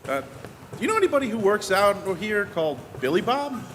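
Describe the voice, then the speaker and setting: A man plays a wrestling-mad man of twenty, higher-pitched and lively, stumbling eagerly over his words. A long hall reverb sits on the voice. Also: fairly quick, a little hiss or hum. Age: 20s. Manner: hesitant, eager. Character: earnest young man obsessed with wrestling.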